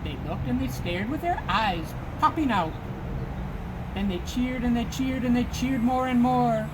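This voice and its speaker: A woman reading rhyming verse aloud for children, with deliberate, dramatic phrasing. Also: on the high side. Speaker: storybook reader. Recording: clearly noisy background.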